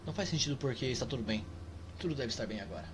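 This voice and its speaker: A man reading the part of a quiet, troubled young man in a mask in a game, in a soft, subdued way. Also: mid-range voice, lively intonation, very fast, natural voice. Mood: weary.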